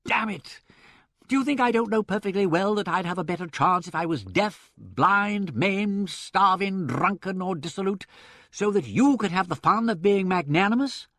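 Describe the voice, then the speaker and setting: A man voicing a witty gentleman in love, speaking in emphatic, impassioned outbursts. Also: high voice, expressive, moderate pace, dry recording, clean studio sound, voice pushed up. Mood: exasperated.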